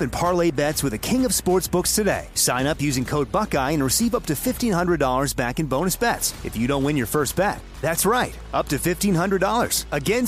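A man's voice: on the high side, slight background noise. A man reading a betting commercial in an upbeat, emphatic sales voice. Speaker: ad announcer. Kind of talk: scripted commercial read.

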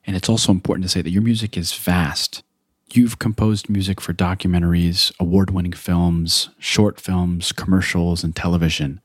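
A male podcast host reading a measured, scripted introduction and then asking his guest questions. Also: sits low, flat delivery, husky, no room sound, studio quality. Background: background music.

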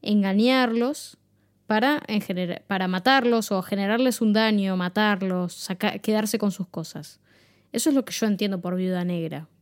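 A woman with an Argentine accent co-hosting a true-crime podcast, chiming in with playful remarks and jokes. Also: medium pitch. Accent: Argentine Spanish. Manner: playful, quick interjections and jokes. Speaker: podcast co-host. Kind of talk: casual true-crime chat.

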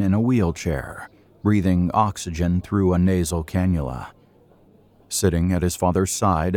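Very deep male narrator, dry sound. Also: darkish tone, dry recording. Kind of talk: scripted audio drama narration.

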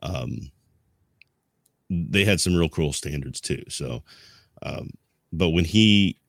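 A man speaking as a podcast co-host in a casual history discussion, explaining things in a halting, rambling way. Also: rumbling low, lively intonation, dry recording, clean studio sound.

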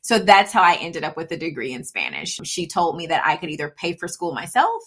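A woman's voice: deep voice, wildly swooping pitch, brisk, husky. A woman in her thirties, a guest on an interview podcast, talking casually and saying "you know" often. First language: English. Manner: chatty, peppers speech with "you know". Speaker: podcast guest, supply chain consultant.

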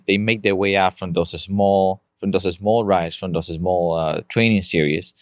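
A man with a Colombian accent and a very low voice talks about his home country as a podcast guest. His English is halting and non-native, with frequent restarts. The sound is dry and close to the mic. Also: no echo. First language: Spanish. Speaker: guest, amateur bike racer. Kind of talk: casual interview chat.

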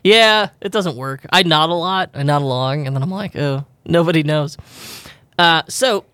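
A man's voice: on the high side, moderate pace, sharp tone, clean tone, no room sound. A man co-hosting a podcast in casual chat, rambling and laughing often as he corrects himself. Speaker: podcast co-host.

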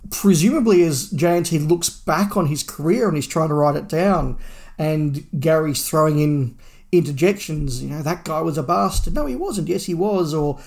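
A man's voice: fairly high pitch, a little mellow. Man co-hosting a casual discussion. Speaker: podcast co-host. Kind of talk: casual discussion of Doctor Who fandom news.

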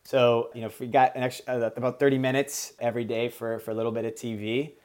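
A man whose first language is Portuguese, speaking as an executive guest in a business interview and explaining his points in a measured way. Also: mid-range voice, flat delivery, a little mellow, clear, resonant tone. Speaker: podcast guest, sales executive.

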